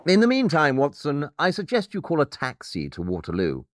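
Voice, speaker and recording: An actor playing a shrewd, self-assured detective with a clipped, didactic manner in an audio drama. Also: male voice, on the high side, wildly swooping pitch, a little fast. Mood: inquisitive, assured.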